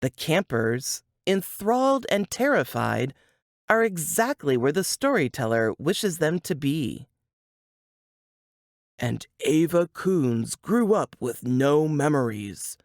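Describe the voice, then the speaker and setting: A woman reading a suspense novel aloud in a dramatic, deliberate storytelling manner. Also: deep voice, very animated, no room sound, clean studio sound. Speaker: author reading from their novel.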